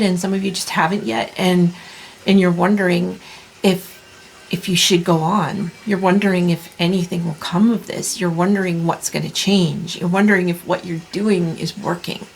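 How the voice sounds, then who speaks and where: Gravelly-voiced woman reading tarot. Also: on the low side, fairly even intonation, slight background noise, low-quality recording. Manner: soft, slow, reassuring delivery with many restarts. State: losing her voice, clearing throat. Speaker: tarot reader. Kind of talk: pick-a-card tarot reading.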